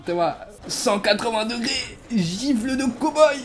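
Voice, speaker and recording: A young man giving a comedy reaction commentary, excitable and laughing, full of street slang. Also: extremely high pitch, unhurried, over loud music. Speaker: YouTuber.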